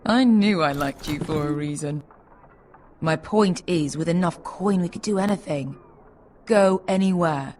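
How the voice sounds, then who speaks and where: Actress playing a low-voiced, brash female sellsword. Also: measured pace, darkish tone, slight background noise, natural voice. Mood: scheming. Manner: blunt, sardonic, foul-mouthed. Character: brash, cynical female sellsword, loyal but greedy. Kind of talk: video game character acting.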